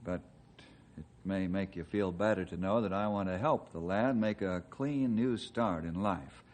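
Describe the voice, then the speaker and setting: An actor playing a kind, easygoing forest ranger in old-time radio style, folksy, calm and reassuring. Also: male voice, low voice, measured pace.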